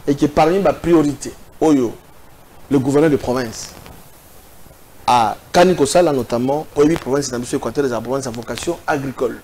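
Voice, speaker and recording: Un homme d'une quarantaine d'années avec un accent congolais, débattant sur un plateau de télévision d'un ton animé et hésitant, avec beaucoup d'hésitations. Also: male voice, medium pitch, moderate pace, slight background noise, rough recording. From Équateur province, DR Congo. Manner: animated, hesitant, many fillers, gesturing. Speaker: political commentator. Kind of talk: TV debate. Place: TV studio set.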